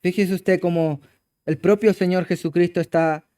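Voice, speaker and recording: A man with a Chilean accent preaching a sermon as pastor, emphatic and moving between loud exclamations and low hushed passages. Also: fairly even intonation, normal speed. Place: church.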